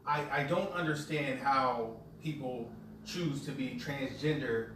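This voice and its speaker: A man's voice: mid-range voice, unhurried, noisy background. A man asking questions hesitantly in a group discussion, stammering and restarting. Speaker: panelist. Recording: echoey room, distant mic.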